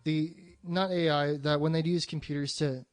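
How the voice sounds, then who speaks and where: A man's voice: a little high, fairly even intonation, normal speed, dry recording. A man hosting a podcast in a casual, rambling movie discussion, swearing freely and sounding enthusiastic. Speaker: podcast host.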